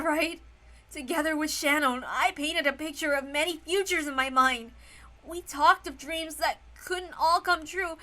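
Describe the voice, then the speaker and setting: A grieving young man played by a woman speaks in a halting, tender way. The tone is high but subdued and restrained, suited to a sorrowful visual novel scene. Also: sharp tone. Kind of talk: dramatic visual novel read-aloud.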